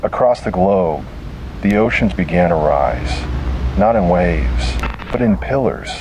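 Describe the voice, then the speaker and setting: Casting: male horror narrator, deep register, grave and measured delivery in short deliberate phrases, over a noisy recording. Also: expressive. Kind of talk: scripted first-person horror story narration.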